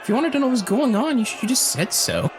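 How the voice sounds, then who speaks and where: An actor playing a cocky, flirtatious acrobat and wisecracking survivor, glib and animated, in an audio drama. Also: male voice, very high voice, fast, noisy background. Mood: sardonic.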